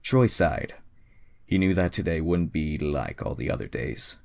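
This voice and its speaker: Young male reader, bass-low and fry-heavy, sarcastic, sweary, mock-dramatic delivery of amateur fiction. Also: expressive, unhurried. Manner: sarcastic, profane asides. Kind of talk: mocking dramatic reading of amateur fan fiction.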